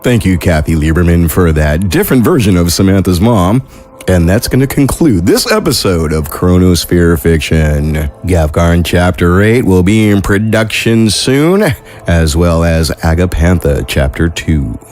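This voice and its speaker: A man's voice: sits low, expressive, measured pace, a little mellow. A man hosting an audio fiction podcast, reading scripted credits as its producer. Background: music.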